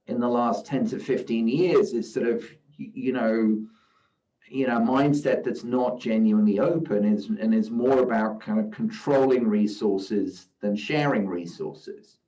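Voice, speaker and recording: Slow-talking man in a roomy distant recording. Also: medium pitch, mellow. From South Africa. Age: middle-aged. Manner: measured, often says "you know". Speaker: guest investor. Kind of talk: reflective interview answers. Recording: roomy, distant, dull sound.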